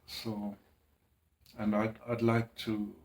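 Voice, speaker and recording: A man speaking in a halting, hesitant way, with restarts and long pauses, in a personal monologue. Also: lowish voice, monotone. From Greenland. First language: Danish.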